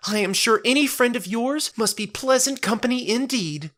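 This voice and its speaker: A sweetly devout young woman, played by a man in an audio drama, pitched high and softly lilting. Her words are formal and quaintly courteous, and her temper is gentle and earnest. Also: moderate pace. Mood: cheerful. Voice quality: soft, lilting. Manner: formal, quaintly polite speech.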